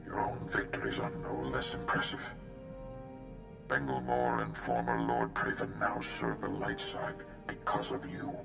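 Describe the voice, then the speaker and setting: A man acting a grave, measured mentor, a Jedi master in a video game, with a deep gravelly voice. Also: sing-song, exaggerated voice. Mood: grave concern. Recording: roomy echo under loud music.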